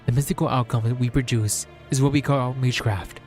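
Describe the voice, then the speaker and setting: A man reads a visual novel aloud as dramatic first-person narration, measured and solemn, in short deliberate phrases. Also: medium pitch, fairly even intonation, darkish tone. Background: background music.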